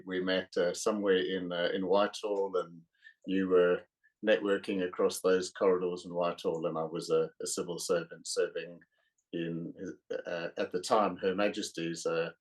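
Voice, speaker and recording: Middle-aged south african guy, really low voice, lots of uhs, chatting about his book. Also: flat delivery, moderate pace, rough recording. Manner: hesitant, many uh fillers. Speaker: author guest. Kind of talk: podcast interview.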